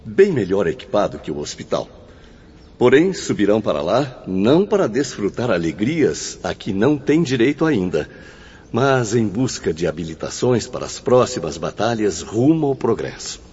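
Kindly spirit guide, radio play: reassuring Brazilian, raspy low register, cavernous muffled sound. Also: male voice, very animated, moderate pace, darkish tone. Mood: reassuring, firm. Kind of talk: scripted radio drama acting. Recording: muffled, long hall reverb.

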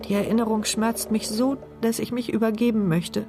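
A woman's voice: a little high, steady tone, normal speed, lightly acted. An actress playing a trapped wheelchair user, narrating her terrified inner thoughts in fragments in a radio thriller. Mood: dread, horror. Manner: tense, fragmented first-person present-tense narration. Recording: music bed behind voice.

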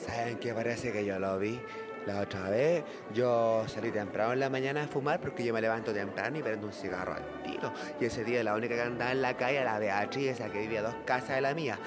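A man's voice: mid-range voice, fast, close to an everyday voice. A man acting a rambling, gossipy Chilean villager in a radio play, colloquial and insinuating. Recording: music behind the voice.

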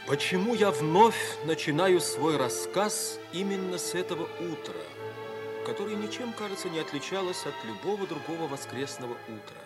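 An earnest, reflective man recounting his life in a vintage radio drama. His voice sits a little high and rises and falls expressively, with emphatic stage diction. Music plays underneath. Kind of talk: 1950s radio play, theatrical first-person narration.

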